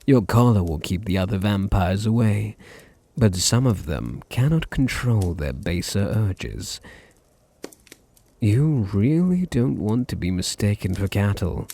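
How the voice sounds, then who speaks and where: A British man playing a possessive, teasing vampire master in an intimate ASMR roleplay, with a deep, husky, gravelly voice and a condescending purr. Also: animated, heavily acted. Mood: smug, cold. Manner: slow, purring, condescending, softly teasing.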